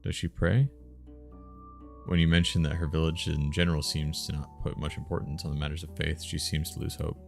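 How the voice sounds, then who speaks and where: Male game streamer, very deep and fry-heavy, casual chat mixed with live story reading. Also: monotone, quick pace, few pauses, soft background music. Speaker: streamer reading a visual novel aloud.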